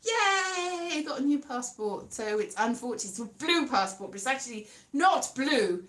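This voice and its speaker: A woman's voice: high voice, lively intonation, normal speed, fairly bright tone. A Welsh woman chatting casually and rambling, correcting herself as she goes and slipping into playful sing-song. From Wales. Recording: echoey room with faint guitar music.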